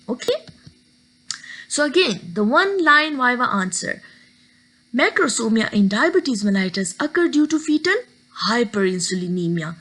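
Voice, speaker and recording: A woman teaches a medical lecture, walking through each point step by step. Her voice sits high and moves a lot, rising and falling through the sentences. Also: rough recording. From Pakistan. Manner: steady explaining, step by step.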